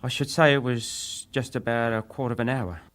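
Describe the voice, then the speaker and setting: A man acting as a young ship's wireless operator and survivor, giving testimony in a deferential, hesitant, measured way. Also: medium pitch, fairly even intonation, fairly bright tone, lightly acted. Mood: subdued, formal. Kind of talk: dramatized reading of hearing testimony.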